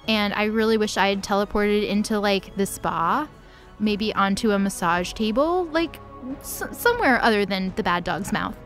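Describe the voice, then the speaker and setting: A woman voicing a prissy, annoyed goddess in a whiny, deadpan way, in a comic story recap. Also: medium pitch, moderate pace, close to an everyday voice. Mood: put out. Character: prissy, annoyed goddess fussing over her outfit. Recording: music under the voice.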